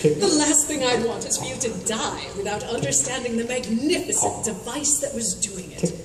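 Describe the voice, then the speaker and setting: A woman's voice: fairly high pitch, normal speed, lightly acted. A woman playing a gloating, theatrical mad-scientist villainess in a comic radio play, grandiose and menacing with mock courtesy. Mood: smug explaining. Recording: echoey hall, far mic, audience noise.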